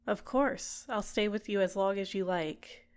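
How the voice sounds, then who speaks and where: A woman playing a tenderly possessive, manipulative villain captor in an intimate ASMR roleplay, speaking in a soft, soothing, deliberate way. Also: medium pitch, clear, resonant tone, close to an everyday voice. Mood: warm, coaxing.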